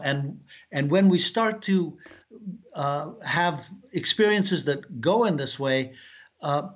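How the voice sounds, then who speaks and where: A middle-aged man from Afghanistan, an author being interviewed, who speaks reflectively with frequent fillers and restarts. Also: a little high. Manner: thoughtful, halting, many fillers and restarts. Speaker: author, guest. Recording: dull lo-fi sound.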